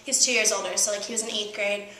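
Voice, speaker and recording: A woman's voice: medium pitch, level tone, quick pace, reverberant, a crowd in the background. A nineteen-year-old woman, a college student, chatting in an interview and laughing as she answers. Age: teens. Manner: chatty, laughs often, says um and like.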